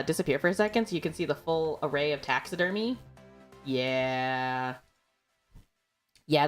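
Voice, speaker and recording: A young adult woman streaming, chatting casually and rambling with lots of fillers and giggles. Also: sits low. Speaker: VTuber streamer. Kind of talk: casual livestream chat.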